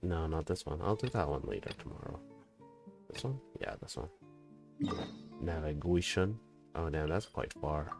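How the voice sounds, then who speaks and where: A man's voice: rumbling low, moderate pace, gravelly, long pauses, over music. A man chatting casually on a gaming livestream, talking in slang and swearing freely. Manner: slangy, swearing, scat-singing to himself. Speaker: game streamer.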